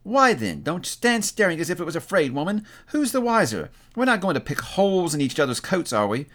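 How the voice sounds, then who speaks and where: A man's voice: high voice, big pitch swings, brisk, pitched above the performer's own voice. A man voicing a brazen, defiant, hard-hearted woman thief in a storybook reading. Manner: loud, challenging, working-class plain speech.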